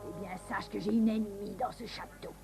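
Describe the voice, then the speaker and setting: Casting: imperious evil stepmother queen played by a woman, theatrical cartoon villain, middle register, over clear music. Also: mid-range voice, fairly even intonation, fairly quick, natural voice. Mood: angry, scheming. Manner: haughty, theatrical, commanding. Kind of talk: French cartoon dub.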